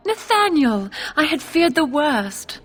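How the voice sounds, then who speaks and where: Frank, warm young noblewoman. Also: high-pitched, big pitch swings, slow, soft background music, exaggerated voice. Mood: joyful. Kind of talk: video game dialogue.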